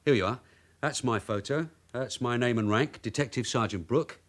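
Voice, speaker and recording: An actor playing a bossy, streetwise long-serving detective sergeant in a radio drama, with a London accent and a brisk, clipped, commanding manner. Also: male voice, medium pitch, close to an everyday voice. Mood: polite, official. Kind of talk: naturalistic British radio drama acting.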